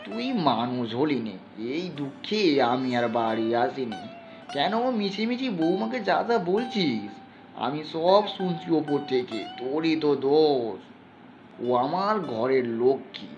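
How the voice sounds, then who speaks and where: Old patriarch, played by a male reader for an audio story: indignant, scolding, animated delivery, slightly dull sound over music. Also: medium pitch, slow. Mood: reproachful. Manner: reproachful, indignant, authoritative. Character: stern old father, scolding head of household.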